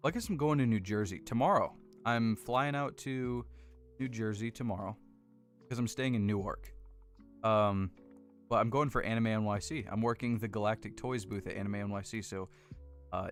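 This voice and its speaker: Casting: American male streamer, low voice, laid-back rambling chat with viewers, close condenser mic, music underneath. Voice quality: deep voice. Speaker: livestream host building model kits. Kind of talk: casual chat with live viewers. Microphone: close desk condenser microphone.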